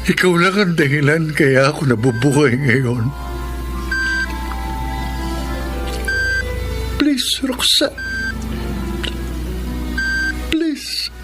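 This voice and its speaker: A man's voice: fairly high pitch, sing-song, a little slow, over music. A man with a Filipino accent playing an anguished, pleading husband in a radio drama. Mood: tearful pleading. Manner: emotional, pleading. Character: anguished poor young husband pleading for his wife.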